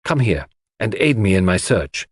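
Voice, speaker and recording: A man's voice: fairly low pitch, sing-song, normal speed, husky, no room sound, clean studio sound. A man voicing a fussy, shrewd older detective, precise and theatrical in an audiobook. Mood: brisk, precise. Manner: precise, theatrical, formal phrasing. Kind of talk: audiobook character acting.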